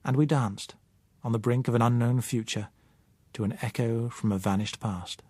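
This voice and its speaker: Husky British male audiobook narrator. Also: mid-range voice, steady tone, normal speed, darkish tone, no echo. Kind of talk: scripted first-person novel narration.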